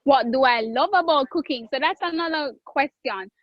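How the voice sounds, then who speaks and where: High-voiced Jamaican woman chef. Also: no room sound, muffled recording. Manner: chatty, drawn-out phrases, frequent self-restarts. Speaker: guest chef. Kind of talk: live online cooking class Q&A.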